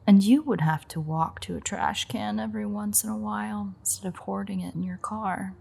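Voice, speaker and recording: A woman playing a sardonic, teasing female vampire mechanic in an audio roleplay, with dry sarcasm and a husky, gravelly, breathy delivery. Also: mid-range voice, normal speed, a little mellow, slight background noise. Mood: playful sarcastic. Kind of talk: audio roleplay acting, intimate one-sided dialogue.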